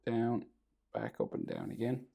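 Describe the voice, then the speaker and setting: A man with a sore throat and a crackly, gravelly voice, talking casually through a drawing tutorial, often trailing off to a near whisper. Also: on the low side, wildly swooping pitch, unhurried, dark tone.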